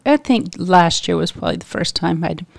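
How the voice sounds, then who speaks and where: A woman speaking in a measured, thoughtful way in a casual chat. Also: fairly low pitch, expressive, creaky, dry recording.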